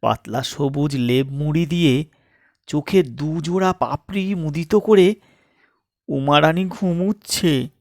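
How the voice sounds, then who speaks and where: Male narrator, very slow pacing, suspenseful pauses, theatrical comic storytelling, close dry sound. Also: a little high, darkish tone, dry recording. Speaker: storyteller and channel host.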